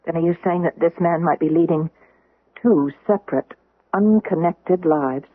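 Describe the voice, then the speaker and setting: A woman playing a middle-aged housekeeper, inquisitive and briskly nagging, in an old-time radio drama. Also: fairly low pitch, dry recording, lightly acted. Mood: probing, uneasy. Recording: muffled, dry vintage radio recording.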